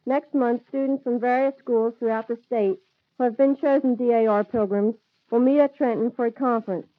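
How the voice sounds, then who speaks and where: A woman's voice: a little high, fairly even intonation, moderate pace. A teenage American young woman reading a scripted student report on an old lo-fi 1940s radio broadcast. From United States. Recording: dull lo-fi 1940s radio recording.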